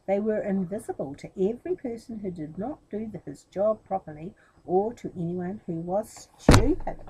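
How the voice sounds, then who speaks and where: A woman's voice: medium pitch, mellow, breathy edge, low-quality recording. A woman reading a children's storybook expressively, talking directly to young listeners as the storyteller.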